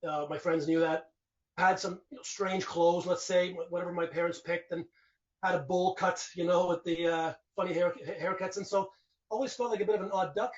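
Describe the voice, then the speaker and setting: A man's voice: high voice, fairly even intonation, fairly quick. A man in his forties, raised speaking Finnish in Canada, reflecting at home in a casual interview with rambling restarts. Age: 40s. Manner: rambling and reflective, frequent restarts and "you know". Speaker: guest. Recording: distant, dull lo-fi mic.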